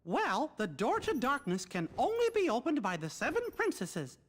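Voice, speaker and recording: An actor playing a cheerful, earnest mouse king in a video game cutscene, in a high falsetto cartoon voice. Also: wildly swooping pitch, normal speed, fairly bright tone, heavily acted.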